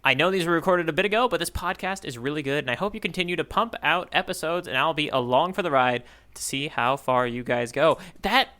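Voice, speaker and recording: A man's voice: a little high, fast talker, few pauses, sharp tone. A man co-hosting a casual podcast, talking in a playful way with rambling asides. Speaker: podcast co-host. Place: remote online podcast recording.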